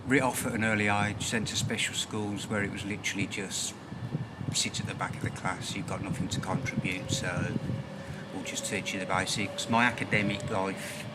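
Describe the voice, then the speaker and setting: A middle-aged man, a poet, reflecting on his life and reading his poems in a candid, plain-spoken, halting way. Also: steady tone. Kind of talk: frank personal reflection and poetry reading. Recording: noisy ambience, rumbling background noise.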